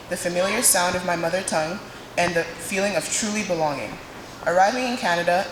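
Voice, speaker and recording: A young woman from Nigeria, giving a prepared student speech in a dramatic, emphatic way with pauses. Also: lowish voice. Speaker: student speaker. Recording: echoey hall, noisy room sound.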